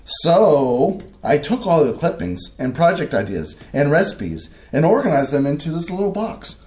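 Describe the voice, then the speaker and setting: A man's voice: fairly high pitch, sing-song, normal speed, darkish tone. A man playing a fussy, orderly husband. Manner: fussy, methodical, grumbling. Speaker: actor in a community staged reading. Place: community theater stage.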